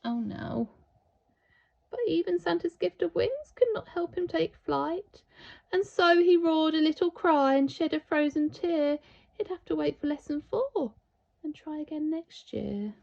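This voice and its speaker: A woman reading a children's storybook aloud with theatrical, deliberate, expressive storytelling. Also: high voice, gravelly, highs cut off. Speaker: storybook reader.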